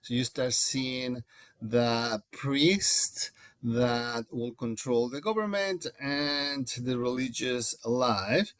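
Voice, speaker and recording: A man's voice: medium pitch, drawn-out words, fairly bright tone, no room sound. A man from Mexico with a Mexican accent and Spanish as his first language, giving an informal, explanatory lecture and addressing his class directly. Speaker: teacher.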